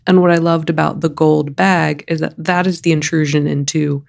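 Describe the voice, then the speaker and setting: A woman's voice: deep voice, steady tone, normal speed, vocal fry, darkish tone. A woman on a film panel talking enthusiastically about a movie she loved. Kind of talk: casual discussion.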